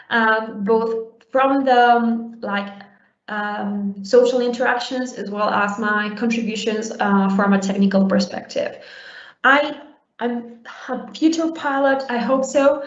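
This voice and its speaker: A young adult woman from Uruguay giving a conversational conference talk, with many fillers and restarts. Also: a little high. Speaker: conference speaker. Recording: roomy, dull lo-fi sound.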